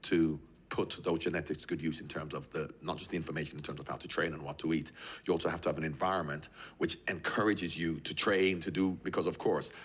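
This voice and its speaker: A man's voice: very deep voice, fairly even intonation, fast talker, a bit bright, slightly rough. An older man from Jamaica, raised in England, talking at length as a guest and often repeating words as he thinks. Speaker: guest, former footballer. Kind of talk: conversational interview.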